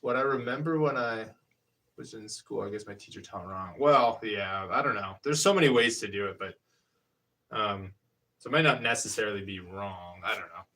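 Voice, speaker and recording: A man's voice: fairly low pitch, moderate pace, pushed and bright. A man in his thirties chatting casually on a live stream while working at a pottery wheel, rambling and hesitant as he explains each hands-on step. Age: 30s. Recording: voice picked up from a distance.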